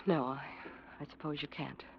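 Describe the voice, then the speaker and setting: An actress playing a worried young wife who speaks quietly and reproachfully in a 1940s radio drama. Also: female voice, low-pitched, steady tone, moderate pace, vocal fry, husky, full character voice. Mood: uneasy. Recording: dull old radio recording.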